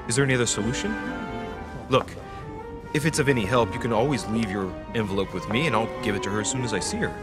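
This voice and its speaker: An actor playing a polite, composed and calculating young gentleman in a video game, speaking formally and deferentially. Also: fairly low pitch, fast, close to an everyday voice. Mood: persuasive, earnest. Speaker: actor in a narrative adventure game. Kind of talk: cinematic game dialogue. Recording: game dialogue over background music.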